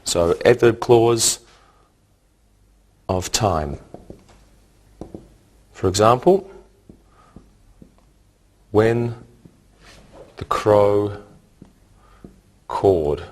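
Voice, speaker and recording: A man teaching English grammar in a scripted lesson, speaking in a deliberate, didactic way and setting out each point in short phrases. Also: fairly low pitch, lively intonation, a little mellow.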